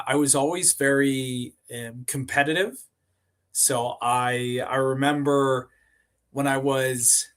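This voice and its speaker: A man from Sweden, a company co-founder, telling stories as a podcast guest in a relaxed, rambling way, with many fillers and restarted words. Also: medium pitch. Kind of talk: casual interview storytelling.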